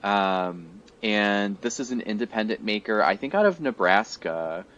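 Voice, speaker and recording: A man's voice: on the low side, expressive, a little slow, fairly bright tone. A man in his thirties co-hosting a casual podcast chat over a video call, a little congested, chatty and often laughing. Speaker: podcast co-host. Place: home room, indoors. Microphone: headset on a video call. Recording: muffled lo-fi video call audio.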